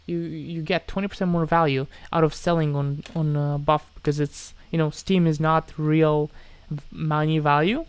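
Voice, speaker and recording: A man's voice: on the high side, little pitch movement, moderate pace, few pauses, clean tone, no echo. A man from Moldova chatting casually on a livestream as he answers viewers, hesitant, often repeating himself and trailing off. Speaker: live streamer answering chat questions.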